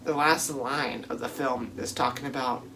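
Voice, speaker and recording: Male vlog host, informal and emphatic, mid-pitched voice, conversational review delivery, faint music underneath. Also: steady tone, normal speed. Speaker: video host reviewing films. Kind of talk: casual spoken vlog commentary.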